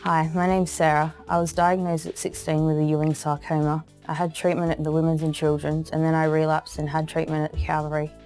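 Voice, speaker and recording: A woman's voice: low voice, flat delivery, a little fast, few pauses, darkish tone, faint music behind. A young adult woman, giving a scripted introduction to camera. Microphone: lapel.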